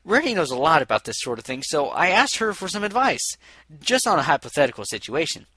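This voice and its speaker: Man as a bookish young unicorn mare, raised pitch, lively, slightly awkward, storybook character. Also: fast, slightly rough, no echo, heavily acted. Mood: nervous. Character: studious, friendly, easily flustered young female unicorn.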